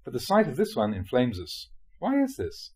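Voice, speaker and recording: A male reader delivers a spiritual teaching in an explanatory, measured manner. His mid-pitched voice has a husky grain and moves expressively up and down as he sets out questions and explanations. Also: huge pitch swings, a little mellow. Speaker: monk reading dharma talks aloud. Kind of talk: reading a spiritual talk aloud.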